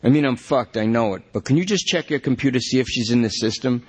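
A man's voice: medium pitch, fast, breathy edge, voice pushed up, close to an everyday voice. A man voicing a jittery, rambling male junkie under arrest, whiny and pleading.

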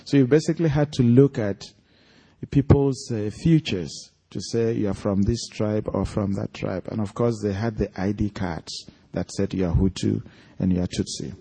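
A man's voice: on the low side, fairly even intonation, moderate pace, vocal fry, dark tone, breathy edge. A man with a Nigerian accent, an author speaking and reading aloud to an audience at a live event. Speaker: author reading from his own story at an event.

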